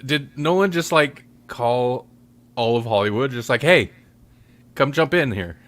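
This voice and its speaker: A man's voice: medium pitch, expressive, a little slow, a bit bright. A man podcast host in casual, rambling chat, often correcting himself.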